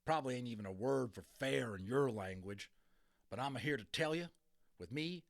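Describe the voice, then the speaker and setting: A man's voice: medium pitch, animated, moderate pace, creaky. Man putting on a blustering, drawling little cowboy. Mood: blustering challenge. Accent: American Old West cowboy drawl. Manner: folksy, drawling, combative. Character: tiny grumbling American cowboy, blustering and complaining. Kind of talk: dramatic audiobook character reading.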